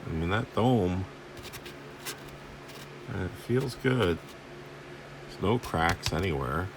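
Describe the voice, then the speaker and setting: A man's voice: deep voice, animated, takes it slowly, vocal fry, noisy background. A man giving casual, rambling hands-on repair commentary, thinking aloud in informal, salty language. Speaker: host of a radio repair video. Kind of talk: casual hands-on commentary while inspecting equipment.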